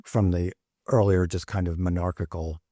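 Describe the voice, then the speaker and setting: Thoughtful low-voiced man, halting. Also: fairly even intonation, dark tone, no room sound, studio quality. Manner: thoughtful, halting, many restarts. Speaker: podcast panelist. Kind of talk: casual discussion. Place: studio in Rome.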